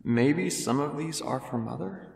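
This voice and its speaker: A man voicing a protective, playful young male pony, a big brother, with expressive theatrical delivery. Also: on the high side, measured pace, gravelly, roomy sound. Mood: wondering.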